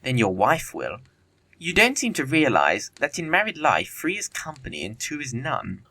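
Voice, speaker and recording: Guy playing a flippant young bachelor gent in an audio play, theatrical, bouncy melody, crisp bright voice. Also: medium pitch, wildly swooping pitch, moderate pace, heavily acted. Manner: glib, theatrical, formal. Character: flippant, witty young gentleman bachelor, playfully lazy. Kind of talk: audio play comedy of manners.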